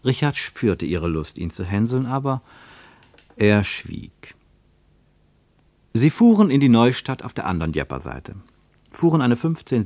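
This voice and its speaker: Man narrating an old radio play, lowish voice, measured and literary, dry close studio sound. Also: a little mellow, no echo. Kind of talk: scripted narration in a radio drama.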